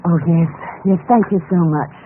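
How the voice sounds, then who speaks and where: An actress playing a needy, ingratiating female lodger in a radio drama, polite and hesitant, with halting, pleading phrases. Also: fairly low pitch, phone-quality sound, lightly acted. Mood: timid, pitiful. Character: needy, ingratiating female book seller turned scheming lodger. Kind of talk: old-time radio drama acting. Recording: noisy, band-limited old radio recording.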